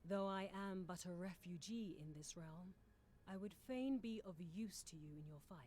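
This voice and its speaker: A woman's voice: lowish voice, moderate pace, darkish tone, a little hiss or hum, lightly acted. An actress playing a humble, earnest refugee warrior woman in a video game, speaking in formal, archaic phrases. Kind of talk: video game cutscene acting.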